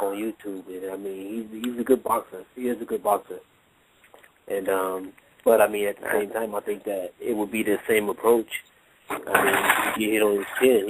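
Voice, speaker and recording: Boxing trainer type, man, low-ish voice, casual, plain-spoken. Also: background noise. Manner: plain, casual, unpolished speech. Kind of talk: radio interview.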